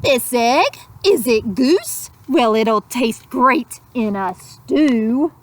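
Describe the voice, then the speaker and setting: A storybook villain hawk, read by a woman, gloating and hungry. The voice is high for a villain and taunts with a jeering, sneering edge. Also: animated, very slow. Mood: gloating, mocking.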